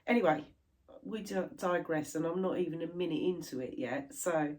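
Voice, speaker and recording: A middle-aged British woman chatting casually to camera about her crafts, sounding stuffy and a little croaky from a chest infection. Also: low voice, normal speed, a little mellow. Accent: British English. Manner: chatty, rambling, laughs easily. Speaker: cross stitch vlogger.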